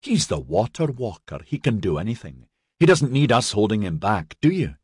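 A man's voice: lowish voice, big pitch swings, moderate pace, a little mellow, slightly rough, no room sound, clean studio sound. A man voicing an embittered, hot-tempered young male constable in an audiobook, with a harsh, sarcastic, biting manner. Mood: scornful, wounded.

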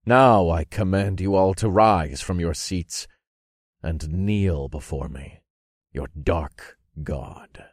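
Man acting a deep-voiced, commanding dark lord. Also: slow pace, dry recording, clean studio sound. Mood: triumphant, commanding. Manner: grandiose, commanding declarations. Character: triumphant commanding male dark lord, Satan reborn.

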